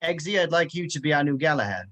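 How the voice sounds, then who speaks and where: A measured, formal spy chief, read by a man in an amateur table read. His voice sits a little high, and the sound is dry and close. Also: expressive, sharp tone, no room sound. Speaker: table read actor reading Merlin. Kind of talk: amateur online script reading.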